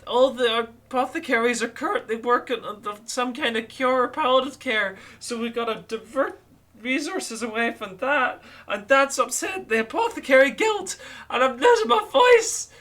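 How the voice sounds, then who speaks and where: Male player voicing a harried bureaucrat who rambles through endless rules; keep it bright and fussy, voice chat sound. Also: very high voice, expressive, moderate pace, pitched above the performer's own voice. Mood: frazzled. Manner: rambling, tangled in rules and forms. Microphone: online voice chat mic.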